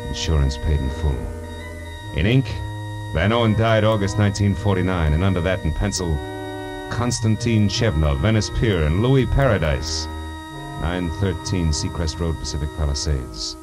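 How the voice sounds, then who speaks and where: A man's voice: low-pitched, animated, normal speed. A man playing a world-weary American private detective, narrating in terse, wry 1940s radio noir style. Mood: tense, observant. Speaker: narrator and lead. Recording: vintage 1940s radio recording with music.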